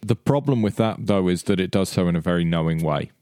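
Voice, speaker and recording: A man talking through his ideas in a casual panel discussion, halting and deliberate as he explains. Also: deep voice, lively intonation, mellow, dry recording, studio quality. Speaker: podcast panellist.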